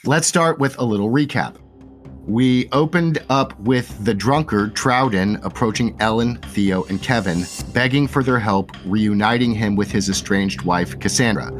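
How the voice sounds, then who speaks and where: A man's voice: lowish voice, measured pace, soft background music. A man hosting a tabletop roleplay show as dungeon master, opening with an upbeat announcer-style intro and then narrating a story recap.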